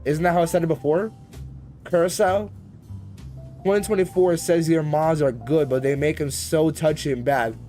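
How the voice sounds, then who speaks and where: A man's voice: a little high, steady tone, moderate pace, warm tone, faint music behind. A man chatting casually as he live-streams a flight simulator, in a rambling, slangy, conversational way. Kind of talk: casual live-stream chat while gaming.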